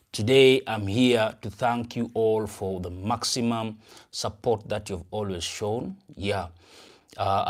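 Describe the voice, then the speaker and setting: A young man with a Ugandan English accent, hosting a casual livestream, greeting viewers and repeating phrases in an upbeat way. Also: lowish voice. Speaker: channel host and event promoter.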